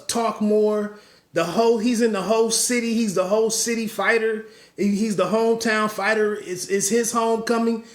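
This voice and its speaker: A man's voice: sits high, reverberant. A man hosting a podcast, giving solo boxing commentary in a rambling way with many restarts and asides.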